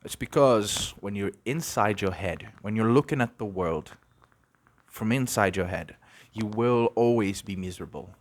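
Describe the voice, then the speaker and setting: A young man from Brazil hosting an unscripted podcast, musing and halting, with many restarts. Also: fairly low pitch. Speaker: podcast host. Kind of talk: unscripted reflective monologue.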